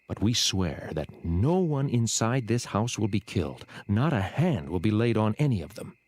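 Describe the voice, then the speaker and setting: An actor playing a solemn, firm Israelite spy in a dramatized audio Bible. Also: male voice, fairly low pitch, darkish tone, no echo, natural voice.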